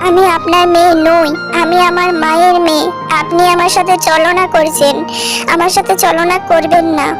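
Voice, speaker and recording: A small, very high-pitched girl played by a woman from a fairy-tale cartoon. She is a young snake maiden who pleads tearfully and earnestly, with music clearly playing behind her. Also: level tone, normal speed. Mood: defiant.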